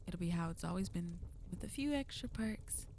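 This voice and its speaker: Smug, teasing villainess, acted by a woman, slightly low. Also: expressive, moderate pace, a little mellow, slight background noise. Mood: flirty, coaxing. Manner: teasing, commanding, intimate. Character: teasing, possessive female villain, flirty and smug. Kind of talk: ASMR roleplay, spoken to the listener.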